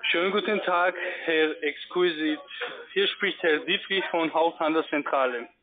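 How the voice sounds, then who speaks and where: A male call-centre agent with a foreign accent and a fairly high voice. He pitches formally and haltingly over a thin phone line. A clear babble of other voices sits behind him. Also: crowd noise. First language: not German.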